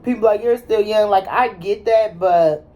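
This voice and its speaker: A woman's voice: medium pitch, moderate pace, dark tone, muffled recording. A woman in her twenties talking casually and bluntly as the host, swearing freely and using slang. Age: 20s. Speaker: channel host. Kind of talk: casual chat.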